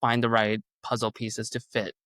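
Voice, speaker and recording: A man's voice: medium pitch, monotone, normal speed, a bit bright, clear, resonant tone, dry recording. A young man, a singer whose first language is Urdu, talking in a rambling casual way with many fillers. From Canada. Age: young adult. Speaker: singer-songwriter guest. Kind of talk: casual interview chat.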